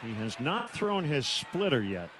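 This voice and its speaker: An American man calling live baseball on the radio in short, clipped phrases with pauses. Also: mid-range voice, very animated, fairly bright tone. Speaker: baseball play-by-play announcer. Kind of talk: live radio play-by-play commentary. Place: stadium broadcast booth. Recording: noisy live ballpark broadcast.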